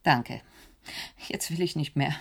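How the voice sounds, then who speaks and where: A woman's voice: sits low, slow, vocal fry, husky, exaggerated voice. An actress playing a forthright, affectionate but wryly impatient woman in a German radio drama, with a direct, probing, dryly teasing manner. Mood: weary, sardonic.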